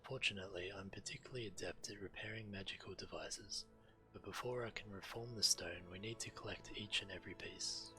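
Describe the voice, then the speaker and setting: An actor playing a fussy, wary scholar in a fantasy game, speaking in a precise, bookish, fretful manner. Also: male voice, lowish voice, fairly even intonation, fairly quick, sharp tone, faint music behind, poor audio. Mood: self-assured. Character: fussy, wary male scholar and artifact restorer. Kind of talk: scripted fantasy game dialogue.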